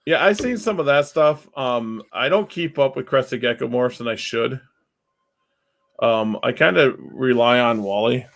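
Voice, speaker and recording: A man hosting a casual online chat, talking loudly and rambling, laughing often, with his mic set about two feet away. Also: medium pitch, unhurried, vocal fry.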